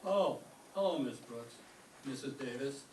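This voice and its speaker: A man's voice: mid-range voice, expressive, measured pace, close to an everyday voice. A man playing a mild, earnest teacher in a radio comedy. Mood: mild, awkward. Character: mild, earnest, oblivious male teacher, Mister Boynton. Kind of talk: old-time radio comedy acting. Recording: echoey room, distant mic, clear noise.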